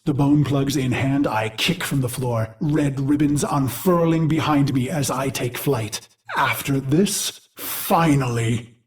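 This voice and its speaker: Guy voicing a grim old-war fighter turned game machine, slow and theatrical, mid-pitched, brooding over his brutal past. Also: lightly acted. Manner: grave, theatrical, deliberate phrasing. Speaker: game character narrator. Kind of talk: dramatic scripted game monologue.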